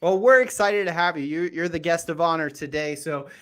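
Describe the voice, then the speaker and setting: An upbeat man hosting a podcast, greeting listeners and interviewing a guest. He talks in a chatty way and often restarts his sentences. His voice sits a little high for a man. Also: a little fast, a bit bright, clean tone. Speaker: podcast host.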